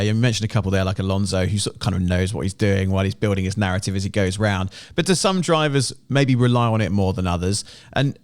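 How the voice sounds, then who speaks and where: A man's voice: lowish voice, no room sound. A man hosting a podcast, putting questions in a casual interview style. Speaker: podcast host.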